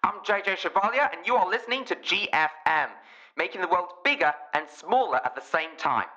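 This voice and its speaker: A man's voice: sits high, expressive, fairly quick, pushed and bright. A man playing an earnest, self-important radio presenter, polished and grandly announcing, with dull band-limited sound. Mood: earnest, upbeat.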